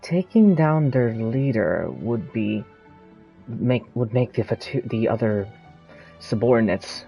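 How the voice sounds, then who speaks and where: A man speaks slowly and hesitantly in a husky, gravelly voice, as if reading out choices during a game playthrough. His pitch moves about a lot, and faint music plays behind him. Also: lively intonation, muffled recording. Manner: halting, hesitant.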